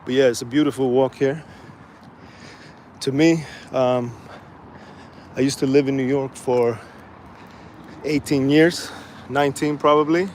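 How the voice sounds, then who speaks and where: A man from Sweden casually chatting to viewers while walking outdoors by the water, relaxed and rambling with many fillers. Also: mid-range voice, unhurried, long pauses. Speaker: vlogger. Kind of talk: casual live-stream walking vlog. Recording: faint outdoor street noise.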